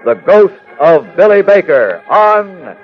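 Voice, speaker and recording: Male serial narrator, declamatory, fairly high voice, dull vintage radio recording. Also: sing-song, slow. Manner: dramatic, declamatory. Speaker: announcer and narrator. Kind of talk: 1940s radio scripted narration. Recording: muffled old radio recording with music.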